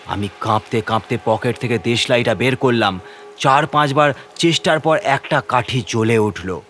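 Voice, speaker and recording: A man's voice: mid-range voice, normal speed, fairly bright tone. Suspenseful male horror story narrator. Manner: suspenseful, theatrical. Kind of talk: dramatic first-person scripted narration.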